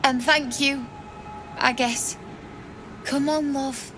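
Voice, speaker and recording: An actress playing a desperate, grieving young widow in a video game, pleading and emotional. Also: female voice, sits high, fairly even intonation, takes it slowly, noisy background. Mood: shaken, resolute.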